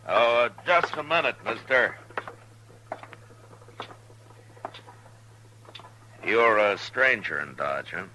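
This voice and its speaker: An actor playing a laconic, firm frontier marshal with a husky voice in an old-time radio Western. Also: male voice, lowish voice, big pitch swings, moderate pace, noisy background. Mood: stern, challenging. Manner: laconic, plain-spoken, authoritative.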